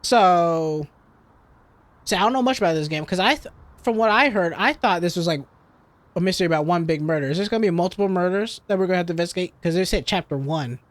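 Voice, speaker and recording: A game player giving casual, informal let's play commentary, thinking out loud. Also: medium pitch, fast, dry recording. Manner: musing aloud, informal. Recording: game music behind the voice.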